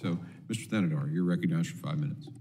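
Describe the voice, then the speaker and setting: A man's voice: sits low, very fast, dark tone, breathy edge. A man chairing a committee hearing, making formal procedural announcements. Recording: distant voice in a reverberant hearing room.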